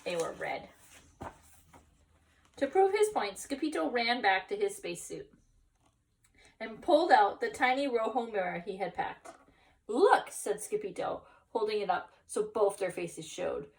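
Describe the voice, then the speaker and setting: A woman reading a picture book aloud expressively, pausing to explain and ask children questions. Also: mid-range voice, gravelly, far from the mic, low-quality recording. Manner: animated, pauses to explain and question. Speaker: teacher reading at school story time. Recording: voice some way from the mic.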